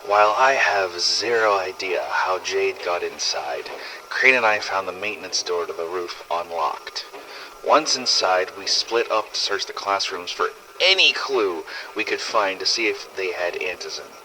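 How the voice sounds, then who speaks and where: A man playing a weary, sardonic, foul-mouthed survivor of a zombie apocalypse, recording expressive first-person audio logs in a game-style drama. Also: low-pitched, a little slow, a little hiss or hum, heavily acted, low-quality recording. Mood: hushed, uneasy. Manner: sardonic, expressive, emphatic swearing.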